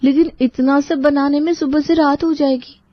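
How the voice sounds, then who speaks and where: A woman's voice: high-pitched, fairly even intonation, moderate pace, no echo, lo-fi sound, close to an everyday voice. A woman playing a resentful, put-upon young sister-in-law in a dramatized family story, sarcastic and grudgingly polite. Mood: exasperated. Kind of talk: dramatized storytelling with asides to listeners.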